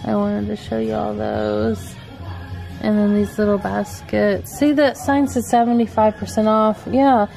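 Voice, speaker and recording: Slow-talking woman gushing over decor. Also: mid-range voice, little pitch movement, gravelly, warm tone, soft background music. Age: middle-aged. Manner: chatty, gushing over cute items. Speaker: vlogger. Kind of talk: shopping vlog walkthrough. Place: indoor home decor store. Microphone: handheld camera mic.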